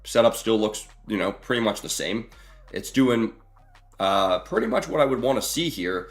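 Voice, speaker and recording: A young man with a hoarse, roughening voice, casually talking to his live stream chat with lots of fillers. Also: fairly low pitch, lively intonation, normal speed. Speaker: trading streamer.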